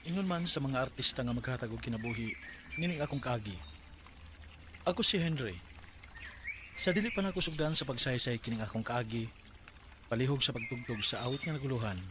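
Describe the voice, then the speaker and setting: An earnest storyteller played by a male actor speaks in a medium pitch. It is radio drama acting, with noticeable noise behind the voice. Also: a little fast, pauses often, lightly acted. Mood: earnest, greeting. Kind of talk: radio drama narration and dialogue.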